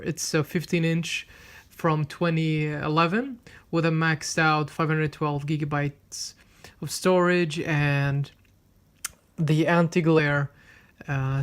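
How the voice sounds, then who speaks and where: A man whose first language is Swedish, reviewing a tablet in a casual, unhurried way with frequent fillers and restarts while demonstrating it. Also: on the high side. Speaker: tech reviewer. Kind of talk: casual product review with live demo.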